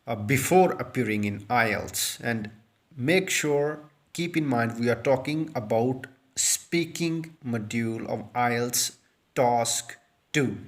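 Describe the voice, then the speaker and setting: A man in his thirties whose first language is Urdu, giving an unscripted speaking-test lesson into a phone held close, earnest and repetitive, full of fillers and restarts. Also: medium pitch. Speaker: IELTS speaking tutor. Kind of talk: unscripted tutorial monologue to camera. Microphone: phone held close, front camera.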